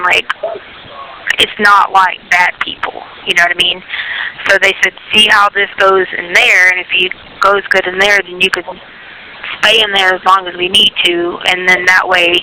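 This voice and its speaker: A woman's voice: lowish voice, bright, forceful tone, a little hiss or hum. A woman chatting casually on a prison phone call, explaining things in a rambling way and checking that the listener follows. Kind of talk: casual personal phone chat. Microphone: telephone handset.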